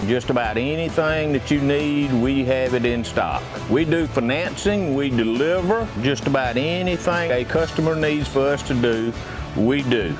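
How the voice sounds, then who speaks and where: A man pitching his own supply store in a plainspoken, personal way. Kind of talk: scripted local ad.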